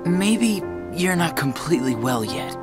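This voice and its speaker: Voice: mid-range voice, sing-song, a little slow, over music. A performer playing an earnest, puzzled teenage boy in a video game, plain-spoken and questioning. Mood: curious, puzzled. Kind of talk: scripted video game voice acting.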